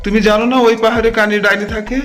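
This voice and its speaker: A man's voice: sits high, level tone, very fast, a bit bright. A man voicing a kindly, protective village man who tells stories affectionately in a cartoon fairy tale. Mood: warning. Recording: background music.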